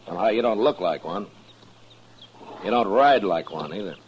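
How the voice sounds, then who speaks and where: A man's voice: fairly low pitch, expressive, moderate pace, lo-fi sound, natural voice. An actor playing a stern, suspicious frontier lawman in a radio western, terse, dry and commanding. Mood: probing, dry. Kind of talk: 1950s radio western acting. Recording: noisy old-time radio recording.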